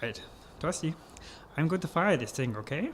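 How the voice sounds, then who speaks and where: An actor playing a bossy, short-tempered German baron villain in a comic radio play, with a German accent and a theatrical, scolding delivery. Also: male voice, on the high side, big pitch swings, moderate pace. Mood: scheming, bossy.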